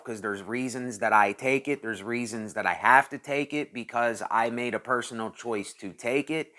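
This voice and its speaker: A man in his late thirties speaks alone to his channel at a middling pitch. He talks in a loose, meandering way, restarting sentences and stacking repeated phrases as he works through his thoughts. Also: a bit bright. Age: 30s. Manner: rambling, repeats himself, thinks aloud. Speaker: channel host. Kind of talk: casual monologue vlog.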